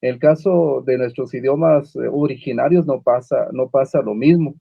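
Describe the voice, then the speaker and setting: A man's voice: mid-range voice, normal speed, creaky, breathy edge, no echo, muffled recording. Hesitant man speaking on a video panel. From Guatemala. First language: Uspanteko. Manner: hesitant, many fillers and restarts. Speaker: writer on a literary panel. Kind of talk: unscripted panel talk.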